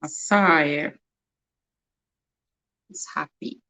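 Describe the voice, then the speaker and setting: Slow, low-voiced Nigerian woman. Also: bright, forceful tone. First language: Igbo. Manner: laughs often, repeats words while sounding them out. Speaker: livestream host. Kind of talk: casual livestream chat.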